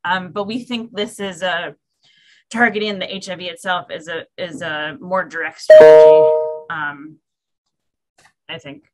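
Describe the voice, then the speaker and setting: Woman, researcher, slightly low pitch, hesitant, video call audio. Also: fairly even intonation, unhurried, long pauses, a little mellow, low-quality recording. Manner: hesitant, many fillers and restarts. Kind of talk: answering questions on a webinar.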